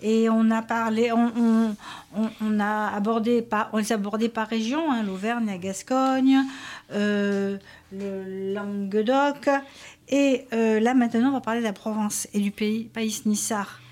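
A woman co-presenting a talk show on regional literature. She moves through a list of names at an unhurried pace, with frequent hesitations. Her voice sits a little high. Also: steady tone, a little mellow. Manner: measured, listing names with hesitations. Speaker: radio co-presenter.

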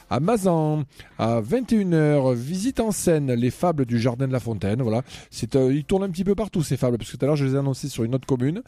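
A man's voice: medium pitch, mellow, no room sound. A man co-hosting a local afternoon radio show, chatting casually and reading out weekend event listings with plenty of fillers. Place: radio studio.

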